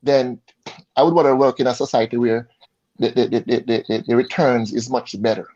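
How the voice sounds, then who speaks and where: A man's voice: mid-range voice, steady tone, moderate pace, a little mellow. A man with a Jamaican accent talking casually as an interview guest, often repeating words as he searches for them. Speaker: interview guest, insurance advisor. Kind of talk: casual conversational interview answers. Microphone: online call. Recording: dull lo-fi online call audio.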